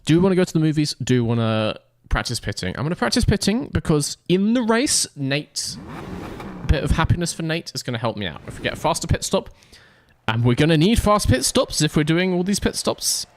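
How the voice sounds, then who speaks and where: A man's voice: a little high, sing-song, a little fast, no room sound. A man streaming a racing game, commenting playfully and joking with his chat. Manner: playful, jokey, talks to chat. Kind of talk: live gameplay commentary.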